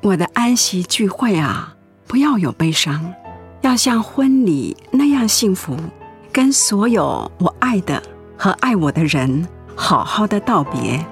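A woman's voice: mid-range voice, sing-song, unhurried, soft background music. Lilting, loving middle-aged mother. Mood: serene, hopeful. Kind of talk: Mandarin radio drama acting.

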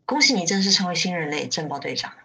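A woman acting a composed faction leader and narrator in a radio drama, measured and detached in delivery. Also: on the low side, lively intonation, pushed and bright, slightly rough, full character voice. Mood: calm, explanatory.

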